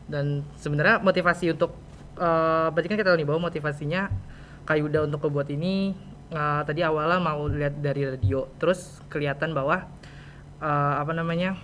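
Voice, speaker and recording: A man's voice: a little high, fairly even intonation, a little fast, fairly bright tone. A young man with a Jakarta Indonesian accent hosting a casual, chatty interview and talking straight to his listeners. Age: teenager or young adult. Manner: chatty, informal, addressing listeners directly. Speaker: podcast host. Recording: clear background noise, room ambience.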